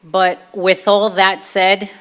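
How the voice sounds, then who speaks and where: A woman's voice: mid-range voice, little pitch movement, takes it slowly, a bit bright, clear, resonant tone. A woman with a Brooklyn accent giving an informal personal talk, telling her story conversationally and acting out bits of dialogue. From Brooklyn, New York, USA.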